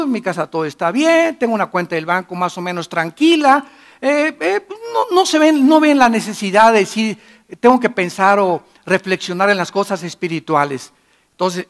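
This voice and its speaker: A middle-aged man preaching a sermon in a church, emphatic and moving between loud and hushed delivery. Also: sits high. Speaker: pastor.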